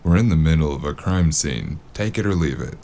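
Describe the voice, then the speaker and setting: An actor playing a weary, sardonic noir investigator in an audio drama, gravelly and dryly deadpan. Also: male voice, a bass voice, brisk. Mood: dry, focused. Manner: dry, understated deadpan.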